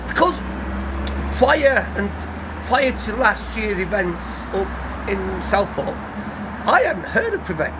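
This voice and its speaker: A man, croaky from a cold, telling a rambling, guarded story to his vlog audience, full of restarts. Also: high-pitched, very animated, slow, long pauses. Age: 50s. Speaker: vlogger. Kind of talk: casual story to camera. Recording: outdoor traffic noise behind.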